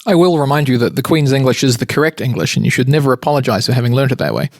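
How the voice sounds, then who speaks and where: A man's voice: mid-range voice, expressive, rapid-fire, creaky, no echo, studio quality. A man hosting a podcast, asking questions in an easygoing, wry manner. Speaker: podcast host. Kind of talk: interview questions.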